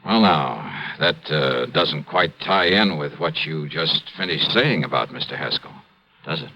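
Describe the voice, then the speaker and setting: An actor playing a shrewd, laconic American investigator in a 1950s radio drama, probing and dry. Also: male voice, rumbling low, expressive, measured pace, pushed and bright, a little hiss or hum, full character voice. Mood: measured, probing. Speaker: lead actor in a detective radio serial. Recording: muffled vintage radio recording, music underneath.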